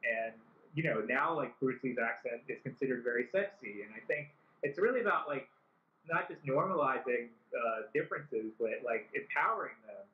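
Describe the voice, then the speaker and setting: A man's voice: medium pitch, animated, moderate pace. A man talking as a guest film director in an interview, earnest and full of "like" fillers. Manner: earnest, many "like" fillers and restarts. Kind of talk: interview conversation. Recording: echoey, dull-sounding recording.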